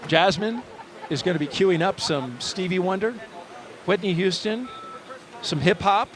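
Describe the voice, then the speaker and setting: A man hosting a live launch broadcast, naming the crew and narrating their walkout in a steady, informative way. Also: sits high, measured pace.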